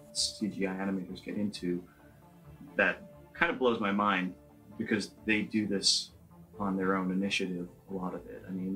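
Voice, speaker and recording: A man with a low voice that has a bright, forceful edge. He talks as a filmmaker in a behind-the-scenes interview. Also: poor audio.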